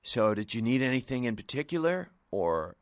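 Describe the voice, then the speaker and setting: An actor playing a nervous, spaced-out shop owner in a video game, rambling in a hesitant stoner drawl. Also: male voice, mid-range voice, big pitch swings, moderate pace, smooth, no echo. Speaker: shopkeeper character in a video game.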